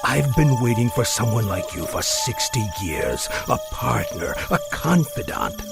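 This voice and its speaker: Sinister, theatrical carnival tempter, wildly swooping. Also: male voice, medium pitch, very animated, measured pace, gravelly. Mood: menacing. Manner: theatrical, insinuating, grandiose. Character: sinister, seductive, ageless carnival tempter, grandiose and obsessive. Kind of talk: audio drama acting. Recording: loud music under the voice.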